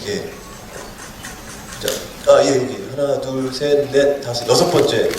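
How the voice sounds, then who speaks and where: A man moderates a film festival Q&A, brisk and polite as he points people out by row. His voice carries through a big, reverberant cinema hall, picked up from far away with noise beneath it. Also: medium pitch. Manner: brisk, polite, counting out rows. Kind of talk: moderating audience questions. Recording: echoey hall audience recording, distant and noisy.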